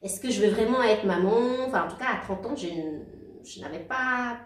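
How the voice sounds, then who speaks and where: A woman in her forties talks through her life story in a relaxed interview, warm and halting, circling back over her words. She sounds a little distant from the mic, in a roomy space, as on a video call. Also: medium pitch. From outside Belgium. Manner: warm, halting, many restarts and laughs. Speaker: guest. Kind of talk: casual interview talk.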